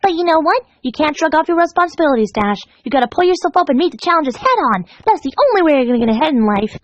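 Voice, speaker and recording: A woman acts out a young female party pony from a dark storybook tale, bubbly and gleefully cruel. The voice is pitched well up and swoops in a perky singsong, joking even as it threatens. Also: fast talker, no echo, lo-fi sound. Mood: breezy lecturing. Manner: singsong, jokey, perky while menacing. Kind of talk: storybook fan fiction character voice.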